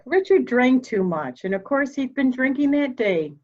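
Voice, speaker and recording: A woman playing a proud, formal, candid elderly mother in a murder mystery reading, speaking deliberately. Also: sits high, expressive, darkish tone, muffled recording. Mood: bitter, frank. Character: proud, cold old mother, candid and slightly deaf.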